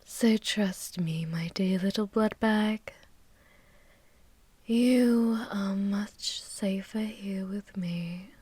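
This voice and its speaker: A woman's voice: medium pitch, mellow, breathy edge, no echo. A woman playing a seductive, possessive and menacing vampire warlord in an intimate, mostly whispered ASMR fantasy roleplay, languid and teasing.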